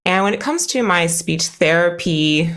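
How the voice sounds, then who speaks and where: An American woman speech therapist, talking as a guest in a casual interview with easy laughs and a lively manner. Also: on the low side, unhurried, clean tone. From United States. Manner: enthusiastic, lists many topics, laughs easily.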